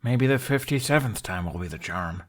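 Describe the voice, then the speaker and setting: A man voicing a stubborn, earnest young prince in a fantasy audio story, dry and sarcastic with a grumbling deadpan. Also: fairly low pitch, normal speed.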